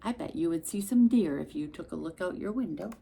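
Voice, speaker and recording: A woman reading a storybook aloud to young children, expressive and sing-song, with chatty asides addressed straight to the listeners. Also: fairly low pitch, brisk, vocal fry, slightly rough.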